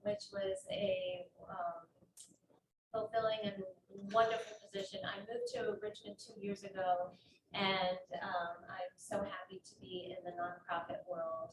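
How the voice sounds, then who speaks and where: A woman from Puerto Rico introduces herself on a panel in a friendly way, with frequent ums. Her voice sits at an everyday pitch. The recording is distant and roomy, with clear room noise. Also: lively intonation, measured pace. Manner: friendly, filler-heavy. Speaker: panelist, community outreach worker.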